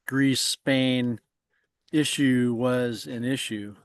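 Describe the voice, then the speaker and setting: A man's voice: medium pitch, steady tone, extremely slow, no room sound, clean studio sound. A man co-hosting an interview show who rambles and halts, repeating words as he restarts his sentences. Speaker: co-host. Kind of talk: casual interview chat.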